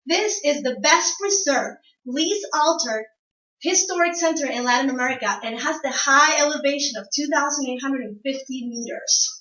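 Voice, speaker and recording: A woman's voice: sits high, moderate pace, bright, forceful tone. A young woman from Ecuador gives a prepared classroom speech, explaining things and addressing the audience directly. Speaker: student speaker. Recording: roomy, distant, dull lo-fi sound.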